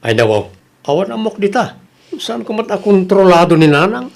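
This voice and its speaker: A man's voice: high voice, big pitch swings, normal speed, creaky, exaggerated voice. A man playing a defensive, dismissive young husband in a family radio drama. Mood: dismissive, put-upon. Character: defensive young husband caught between wife and mother. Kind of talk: Ilocano radio drama acting.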